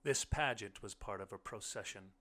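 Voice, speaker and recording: A man's voice: lowish voice, moderate pace, sharp tone. A man reading a short story aloud as scripted first-person audiobook narration.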